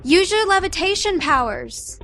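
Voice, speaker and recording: A woman's voice: very high voice, big pitch swings, unhurried, fairly bright tone, soft background music, exaggerated voice. A woman playing an alert, urgent heroine in an audio drama. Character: alert female bio-mechanical heroine, urgent, caring.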